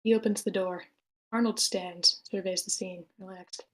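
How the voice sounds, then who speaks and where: A woman's voice: medium pitch, steady tone, normal speed, rough recording. A woman reading stage directions as the narrator of a screenplay table read.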